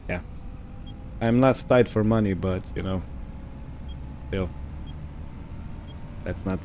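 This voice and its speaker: A man giving casual roleplay gameplay commentary, unhurried and thinking aloud as he goes. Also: on the low side, a little mellow, a little hiss or hum. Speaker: gaming video host.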